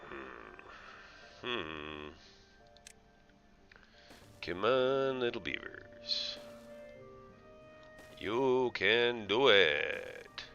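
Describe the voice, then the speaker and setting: Male game streamer, very low, forceful bright voice, muttering and playful, slightly dull audio with music underneath. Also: sing-song, drawn-out words, pauses often. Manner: mutters to himself, playful asides to game characters. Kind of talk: casual live gameplay commentary, thinking aloud.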